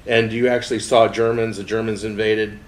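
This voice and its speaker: A man hosting a casual interview, friendly, with many pauses and fillers as he asks his questions. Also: on the low side, flat delivery, crowd noise.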